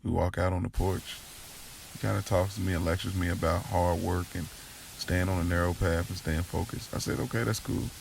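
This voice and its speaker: An American man narrating an audio drama in the first person, in a gravelly voice and casual, slangy street vernacular. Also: deep voice, flat delivery, brisk, darkish tone, a little hiss or hum, low-quality recording. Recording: music bed under the voice. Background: music.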